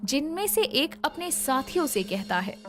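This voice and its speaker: A woman narrating a scripted moral story in a dramatic, expressive way, over soft background music. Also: sits high, moderate pace.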